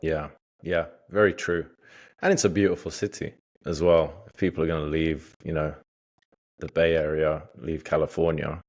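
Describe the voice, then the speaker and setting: Very deep-voiced male podcast host. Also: flat delivery, moderate pace. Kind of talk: casual interview talk.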